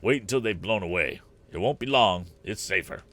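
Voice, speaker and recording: A man's voice: on the low side, animated, normal speed, fairly bright tone. A man voicing a serious, cautious grown man in a storybook, speaking in short, snappy sentences. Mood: serious, cautioning. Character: serious adult man, cautious, terse inventor's partner.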